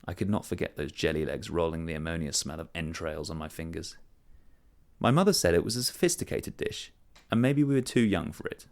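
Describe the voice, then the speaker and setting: A man reading a short story aloud, telling it in a measured, wry first-person voice. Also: sits low, creaky, a little mellow. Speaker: story reader. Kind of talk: scripted narration of a short story.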